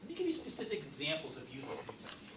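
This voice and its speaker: A man whose first language is French, teaching a math class in a lively, playful way and asking the students questions. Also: a little high, racing, far from the mic. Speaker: math instructor. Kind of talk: classroom lecture. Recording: echoey classroom recorded from afar, noisy.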